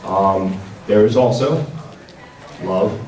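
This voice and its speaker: A man giving a product presentation at a convention panel, speaking in a halting, hesitant way. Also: fairly low pitch, a little mellow, slight background noise. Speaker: presenter for a visual novel publisher. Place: large indoor convention panel room. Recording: roomy, distant convention hall with audience. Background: audience crowd sounds.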